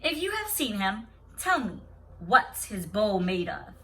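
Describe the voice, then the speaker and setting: Woman reading as a bright-voiced, gentle wise sister. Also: mid-range voice, big pitch swings, a little slow, distant mic, a little hiss or hum. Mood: soft questioning. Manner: gentle, probing, full of wonder.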